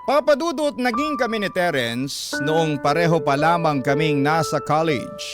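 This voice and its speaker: A man voicing a regretful letter writer, narrating a troubled love story in measured, reflective radio style. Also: fairly high pitch, animated, lightly acted. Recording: loud music bed under narration.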